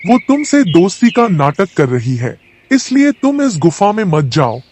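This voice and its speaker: A man voicing a loyal, watchful crow, an earnest friend in an animated animal fable. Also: high-pitched, a little slow, no room sound, heavily acted. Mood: urgent warning.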